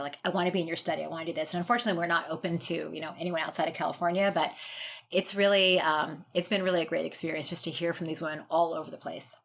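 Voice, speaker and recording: Low-voiced American woman, noisy recording. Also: a bit bright. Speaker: guest doctor, urogynecologist and researcher. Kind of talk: conversational interview answers.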